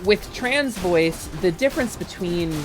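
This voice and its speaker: A young adult woman streaming a game, chatting casually and excitably, swearing freely. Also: on the low side, measured pace, soft background music.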